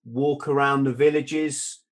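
A man's voice: mid-range voice, fairly even intonation, slow pace. A man in his fifties hosting a podcast over a video call, asking long storytelling questions. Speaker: podcast host. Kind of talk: casual interview chat. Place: room at home.

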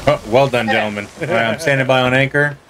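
A man's voice: mid-range voice, fast talker, a crowd in the background. A man talking casually with his crewmates during a video game. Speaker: gamer playing co-op with friends. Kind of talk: casual gaming chat.

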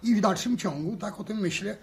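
An actor playing a polite, hesitant, conciliatory scientist in 1960s radio drama style. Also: male voice, high-pitched, brisk, gravelly. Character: hesitant, conciliatory male scientist, mildly uneasy.

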